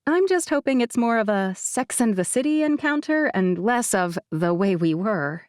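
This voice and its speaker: A woman's voice: on the high side, animated, moderate pace, darkish tone, no room sound. Wry woman narrating an audiobook, dry sound. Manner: wry, confiding, conversational. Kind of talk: first-person romance audiobook narration.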